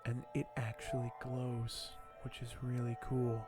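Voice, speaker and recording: A soft-spoken man hosting an ASMR unboxing, talking in a hushed, halting way that often nears a whisper. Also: mid-range voice, monotone, loud music behind the voice. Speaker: ASMR video host.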